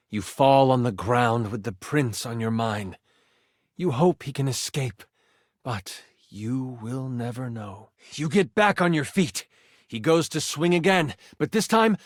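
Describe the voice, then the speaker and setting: A man playing an excitable game master in a video game, narrating a fantasy adventure with theatrical, suspenseful expression. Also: medium pitch, dry recording, clean studio sound. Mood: somber, hushed, then tense. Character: personified twenty-sided die, an excitable male game master. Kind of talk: dramatic tabletop fantasy narration.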